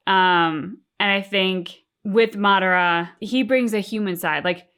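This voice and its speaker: Reflective woman in casual, deliberate discussion. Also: mid-range voice, fairly bright tone, clear, resonant tone. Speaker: reaction show co-host.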